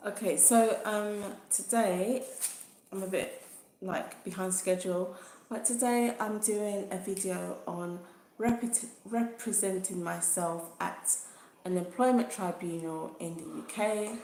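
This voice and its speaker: A woman vlogger talking earnestly and thoughtfully about her own experience, in an unhurried way. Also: mid-range voice, gravelly, mellow. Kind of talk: personal experience vlog. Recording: echoey room.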